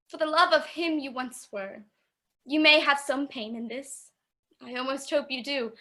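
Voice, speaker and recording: A woman's voice: high-pitched, pushed and bright, full character voice. A woman in a radio play playing a poor young fiancée who speaks with sorrowful, earnest resolve. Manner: earnest, measured, plaintive.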